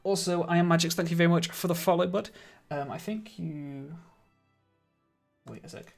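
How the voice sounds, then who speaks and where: A man streaming a game live, chatting casually with viewers and laughing often, his voice a little croaky. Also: a little high, moderate pace, faint music behind. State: slightly croaky voice. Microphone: studio microphone.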